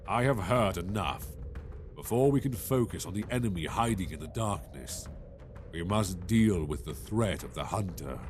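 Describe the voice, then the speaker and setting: A man's voice: deep voice, lively intonation, normal speed, soft background music. An actor playing a stern male dragon-kin lord in a fantasy audio drama, grave, formal and imperious. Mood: stern, judging.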